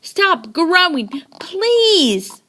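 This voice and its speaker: A woman's voice: very high-pitched, sing-song, extremely slow, sharp tone, voice pushed up, exaggerated voice. A woman voicing an earnest, anxious child in a storybook, exclaiming and pleading. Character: anxious child pleading with a runaway-growing pet fish.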